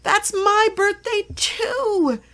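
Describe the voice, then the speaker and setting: A woman voicing an eager, excitable young schoolgirl in a children's storybook, with a bouncy, earnest manner. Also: extremely high pitch, drawn-out words, pitched above the performer's own voice, full character voice. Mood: thrilled. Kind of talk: children's storybook read-aloud.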